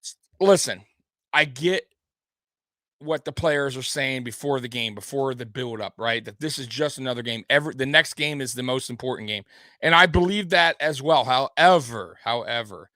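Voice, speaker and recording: Bright-voiced man hosting a casual sports recap. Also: mid-range voice, normal speed, dry recording, studio quality. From Detroit area. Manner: loose, rambling, talks to the chat. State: a little tipsy. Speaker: host.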